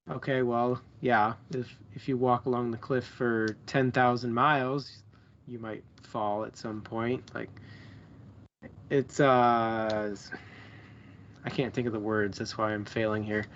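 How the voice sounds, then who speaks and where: A man co-hosting a stream in informal, casual chat, haltingly feeling his way to the right words. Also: mid-range voice, muffled recording. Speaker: co-host of a book club stream.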